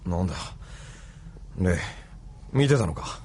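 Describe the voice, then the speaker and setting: A man acting a cynical, rough young pilot in a radio drama, with gravelly, slangy, sneering speech over music. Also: deep voice, expressive, measured pace, slight background noise. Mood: bitter, defiant. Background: loud music.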